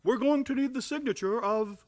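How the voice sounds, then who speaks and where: A man's voice: extremely high pitch, moderate pace. A man acting a fussy, polite sanitarium official, formal and fluttery, in a radio play comedy.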